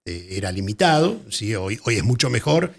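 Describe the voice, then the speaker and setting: Gravelly Argentine man, halting and thoughtful. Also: on the low side, big pitch swings. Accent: Argentine Spanish. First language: Spanish. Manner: thoughtful, restarts and repeats words while searching for phrasing. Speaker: writer, interview guest. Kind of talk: casual interview conversation.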